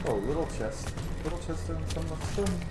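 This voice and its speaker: A man's voice: fairly high pitch, expressive, moderate pace, very noisy. A man in his thirties giving casual commentary while playing a video game. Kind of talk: casual let's-play commentary. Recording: roomy sound under loud game audio. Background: loud game music and combat sounds.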